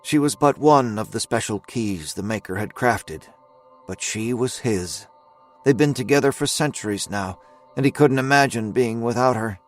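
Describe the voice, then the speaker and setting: A man's voice: mid-range voice, normal speed. A man narrating scripted fiction as a storyteller in an audio drama. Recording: faint music under narration.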